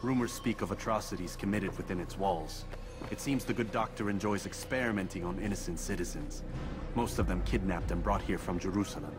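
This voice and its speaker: Game hero: man playing resolute assassin, lowish voice, terse and formal, music underneath. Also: a little fast, natural voice. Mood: grave, resolute. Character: grave, resolute male medieval assassin. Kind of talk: dramatic video game cutscene acting. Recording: music behind the voice.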